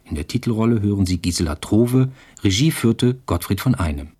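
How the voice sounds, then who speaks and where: A man's voice: on the low side, lively intonation, a little fast, warm tone, faint music behind. A man reading a scripted introduction to a radio drama as its announcer.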